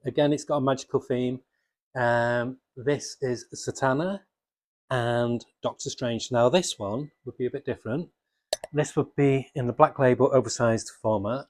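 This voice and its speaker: A man's voice: medium pitch, no room sound. A man presenting unscripted fan commentary to viewers in a casual, rambling, enthusiastic way. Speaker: comics video presenter.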